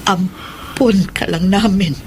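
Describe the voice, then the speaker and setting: A woman's voice: mid-range voice, slow pace, a little mellow, slight background noise, lightly acted. A woman playing an anxious middle-aged adoptive mother, earnest and dramatic, in a Tagalog radio drama. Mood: remorseful, solemn.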